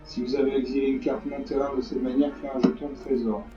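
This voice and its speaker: A hoarse man gives casual commentary on a card game, circling back on his words and filling pauses as he goes. He sits some way from the mic in an echoey room, and the sound is dull and lo-fi. Faint music plays behind him. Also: mid-range voice, level tone, recorded from a distance. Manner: rambling, repeats himself, many fillers. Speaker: gaming channel host.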